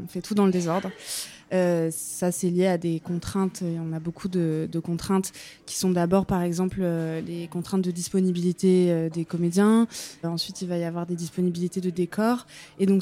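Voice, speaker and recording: A woman's voice: lowish voice, level tone, normal speed, warm tone. A young woman from France, guest on an interview, speaking thoughtfully and hesitantly with many fillers in a café-restaurant. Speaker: guest, film script supervisor. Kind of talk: conversational interview.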